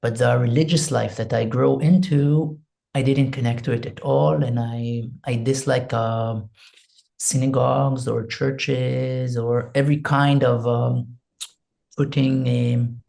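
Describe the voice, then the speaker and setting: Slow gravelly Israeli man, soft-spoken. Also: mid-range voice, level tone. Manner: soft, hesitant, searching for words, meditative. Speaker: interview guest, singer. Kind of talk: slow reflective interview talk with a spoken prayer.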